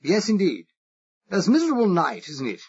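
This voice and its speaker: A man's voice: sits high, huge pitch swings, a little fast, a little mellow, husky, pitched above the performer's own voice, exaggerated voice. A man voicing a flustered, pompous upper-class Englishman with an exaggerated English accent. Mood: flustered posh. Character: posh Englishman feigning fussy secretary. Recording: dull lo-fi recording.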